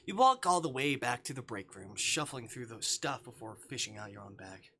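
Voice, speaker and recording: A man's voice: mid-range voice, big pitch swings, moderate pace, pushed and bright. A man live streaming, expressively reading a visual novel aloud with casual asides and stumbles as he skims.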